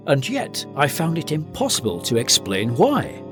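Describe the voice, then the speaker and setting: An actor playing a grave gentleman narrator of a gothic tale, reading in a formal, literary, dramatic style. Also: fairly high pitch, wildly swooping pitch, normal speed, over music. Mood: uneasy dread.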